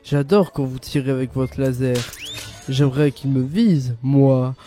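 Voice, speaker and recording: A man's voice: mid-range voice, steady tone, unhurried, dark tone, faint music behind, lightly acted. A man voicing a villain's infatuated minion in a cartoon dub, gushing with admiration. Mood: adoring.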